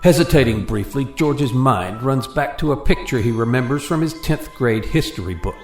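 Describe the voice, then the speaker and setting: A man's voice: mid-range voice, expressive, normal speed, soft background music. An American man working as a radio host and narrator, presenting and reading a scripted story in an echoey room.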